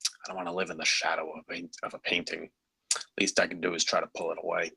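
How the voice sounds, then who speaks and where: A man reading the part of a gruff, wry, grumbling theme-park designer at a screenplay table read, with a husky voice. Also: low voice, little pitch movement, fast talker, pushed and bright, no echo, full character voice, rough recording. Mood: wry, rueful.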